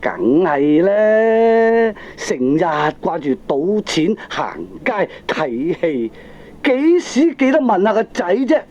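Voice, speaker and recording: A man's voice: high-pitched, very animated, takes it slowly, a little mellow, exaggerated voice. An actor playing a foolish, money-grubbing middle-aged father and gambler in a Cantonese comedy radio drama, with a Hong Kong accent and exclamatory, wheedling, argumentative speech. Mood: bickering, grasping. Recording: dull lo-fi old cassette tape.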